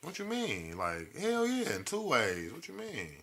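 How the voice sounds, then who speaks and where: Bright-voiced man bantering, profane and slangy. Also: a little high, huge pitch swings, a little slow, vocal fry. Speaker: podcast co-host. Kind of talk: casual podcast banter.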